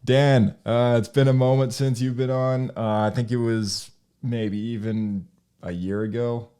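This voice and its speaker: A man's voice: mid-range voice, fairly even intonation, a little slow, dark tone, clean tone. A man hosting a casual podcast chat, with a hesitant, meandering way of talking. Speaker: podcast host.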